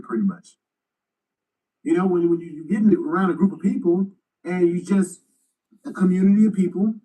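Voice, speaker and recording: A man's voice: on the high side, dark tone, distant mic, low-quality recording. A man giving loose, slangy commentary as a YouTuber, often repeating himself as he thinks. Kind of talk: casual commentary.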